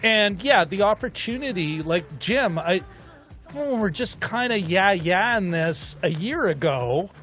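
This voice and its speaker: A man co-hosting a film discussion show, chatting casually in a rambling, animated way as he thinks aloud. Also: high-pitched, unhurried, soft background music. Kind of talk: casual chat.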